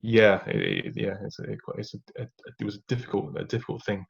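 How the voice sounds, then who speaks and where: Low-voiced man with dull lo-fi sound. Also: huge pitch swings, a little fast, gravelly, slightly rough. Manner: reflective, many fillers and restarts, occasional rueful laughs. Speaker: podcast guest. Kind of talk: candid personal story.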